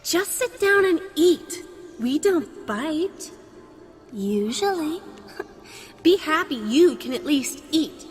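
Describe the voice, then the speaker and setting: A reverb-drenched forest spirit, voiced by a woman, very high and wry. She speaks slowly and serenely, like a goddess of plants in an audio drama. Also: big pitch swings, full character voice. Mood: wry, calm. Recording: long reverb over faint music.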